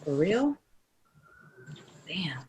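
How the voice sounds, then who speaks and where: A woman's voice: fairly low pitch, big pitch swings, extremely slow, darkish tone, exaggerated voice. A woman playing a blunt, level-headed, easily exasperated lawyer friend in a comedy table read. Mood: blunt, teasing. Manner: blunt, matter-of-fact.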